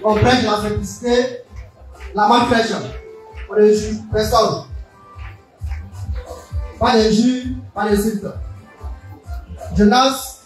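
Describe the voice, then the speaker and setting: A man making slow, firm announcements at a church event. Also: high voice, fairly even intonation, faint music behind. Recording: echoey hall, voice from far off.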